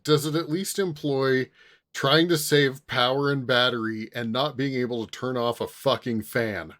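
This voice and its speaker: A man's voice: medium pitch, fairly even intonation, moderate pace, a bit bright. A man making wry, joking remarks in casual podcast banter. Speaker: podcast panelist.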